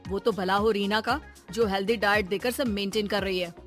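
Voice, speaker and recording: एक महिला हिंदी कहानी में बेसब्र, रूखे जवान बेटे का किरदार निभा रही है, झिड़कने और टालने वाले लहजे में।. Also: female voice, mid-range voice, steady tone, normal speed, soft background music, voice pushed down, close to an everyday voice. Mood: exasperated, scolding. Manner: curt, scolding, exasperated. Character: grown son, impatient, dismissive, cold toward his mother. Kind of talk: Hindi moral story dramatisation.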